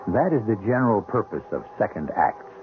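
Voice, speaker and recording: A man playing a wry, ominous storyteller hosting a radio mystery, with a theatrical, deliberate, sardonic delivery. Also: fairly low pitch, big pitch swings. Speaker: radio drama host and narrator. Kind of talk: 1970s radio drama narration. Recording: tinny vintage radio recording, background music.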